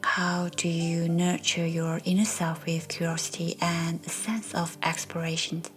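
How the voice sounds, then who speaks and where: A woman narrating a guided meditation in a soft, breathy, soothing way, as a meditation guide. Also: on the low side, completely flat delivery, measured pace. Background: soft background music.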